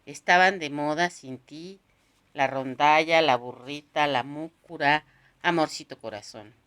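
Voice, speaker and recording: A woman narrating a literary novel aloud, expressively, in measured phrases with pauses. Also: low voice, a bit bright.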